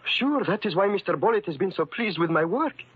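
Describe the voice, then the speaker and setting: Excitable immigrant shoemaker, swooping pitch. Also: male voice, a little fast, breathy edge. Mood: pleading, protesting. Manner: emotional, formal phrasing. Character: earnest immigrant shoemaker husband, excitable and pleading. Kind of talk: 1940s radio drama acting. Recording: muffled, worn 1940s radio recording.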